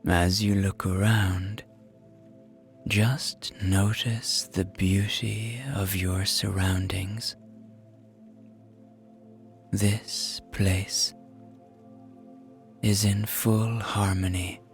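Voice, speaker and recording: A man guides a relaxation exercise in a low, hushed voice. His delivery is extremely slow and very even, gentle and soothing throughout. Soft music and rain sit behind the narration. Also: vocal fry. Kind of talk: scripted guided meditation narration.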